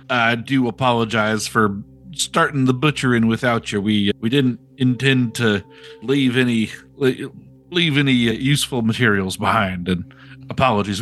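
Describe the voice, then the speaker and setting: A man's voice: mid-range voice, fairly bright tone, natural voice. A man playing an adventurer with a put-on Southern American accent, rambling and stumbling over his words. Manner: rambling and stumbling, self-amused. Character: adventurer putting on a Southern drawl.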